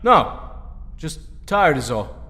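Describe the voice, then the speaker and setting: Man acting a sullen, higher-voiced, echoing teenage boy. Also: big pitch swings, slow, bright, forceful tone, exaggerated voice. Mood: curt, evasive. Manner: curt, dismissive. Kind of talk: audio drama acting. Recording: heavy echo, faint noise.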